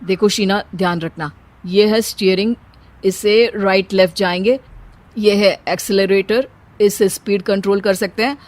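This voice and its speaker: A man's voice: high-pitched, steady tone, normal speed, lightly acted. A young Indian husband in a comic family tale, played by a male voice actor. He is sarcastic and forever wisecracking. His delivery is teasing, with an exasperated edge. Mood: instructing, dry. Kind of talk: Hindi family comedy story acting.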